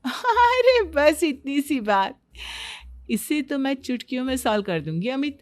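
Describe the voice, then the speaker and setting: A woman's voice: high-pitched, sing-song, a little slow. A woman voicing a confident, breezily boastful young daughter-in-law in a Hindi cartoon, explaining everything with self-assurance. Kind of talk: Hindi cartoon story dialogue.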